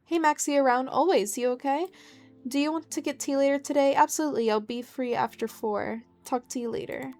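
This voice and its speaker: Chatty woman with a highish voice. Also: fairly quick, darkish tone, soft background music. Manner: informal, chatty. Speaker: gaming video host. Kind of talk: casual let's play commentary, reading game text aloud.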